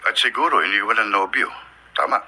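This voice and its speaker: Deep-voiced, thoughtful husband. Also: male voice, fairly quick, pushed and bright, slightly rough, heavily acted. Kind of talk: radio drama acting. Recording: noisy radio drama broadcast.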